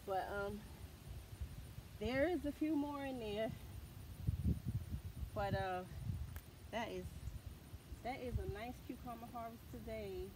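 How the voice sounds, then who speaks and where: A woman's voice: on the high side, slow pace, pauses often. Folksy woman gardening outdoors. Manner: folksy, addresses viewers as y'all, Southern US dialect. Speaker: homestead vlogger. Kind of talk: casual chat to camera while gardening. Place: backyard garden outdoors in summer. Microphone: camera on tripod at a distance. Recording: outdoor camera audio with loud background noise.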